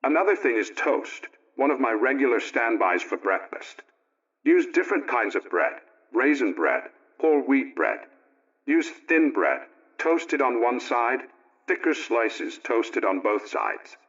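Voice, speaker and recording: An actor plays a middle-aged family man on 1920s radio, earnest and folksy. He reads in a plain-spoken, politely opinionated way at a medium pitch. The recording is dull and old-fashioned. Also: sing-song, a little slow, a bit bright. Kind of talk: 1920s radio scripted letter reading.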